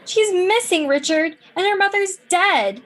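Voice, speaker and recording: A distraught townswoman, acted by a woman in a radio play. Her voice is high and her manner melodramatic. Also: lively intonation, measured pace, fairly bright tone, exaggerated voice. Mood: horrified, distraught. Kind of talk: amateur radio play acting.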